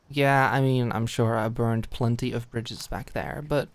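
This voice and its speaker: A woman acting a feisty, protective popular young woman at camp in an ASMR roleplay, talking in a casual, halting, emphatic way. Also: extremely low pitch, steady tone, no room sound. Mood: subdued, apologetic.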